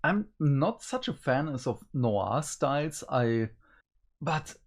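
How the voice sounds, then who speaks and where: A man gives his thoughts in a casual review chat as a co-host. His delivery is slow and takes its time, at an average male pitch. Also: sing-song.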